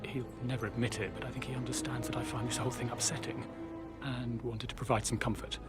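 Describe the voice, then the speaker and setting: An actor playing an anxious, flustered professor over game music. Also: male voice, medium pitch, little pitch movement, quick pace, close to an everyday voice. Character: fretful British professor brother. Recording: game audio with music behind.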